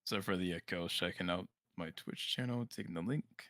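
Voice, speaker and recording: A woman co-hosting a livestream, calm and reassuring as she greets the chat. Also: extremely low pitch, normal speed, dry recording. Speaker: livestream co-host.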